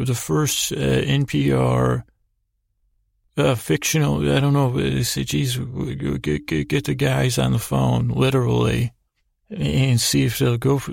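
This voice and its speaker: A man hosting a podcast as a meandering, halting bedtime monologue, often trailing off and doubling back with self-deprecating, absurd humour. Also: medium pitch, darkish tone, no echo. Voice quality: creaky, gravelly. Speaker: podcast host.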